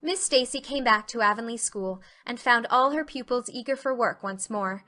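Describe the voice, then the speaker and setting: Female audiobook narrator, bright forceful tone, slightly high, reading scripted classic fiction. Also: normal speed.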